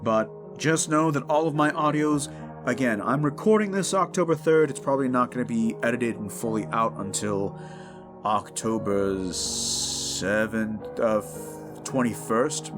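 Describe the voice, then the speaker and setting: A man's voice: medium pitch, a little slow. Casual male creator over instrumental music. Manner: informal, conversational, digressive. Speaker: audio roleplay creator and channel host. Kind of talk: casual rambling channel update.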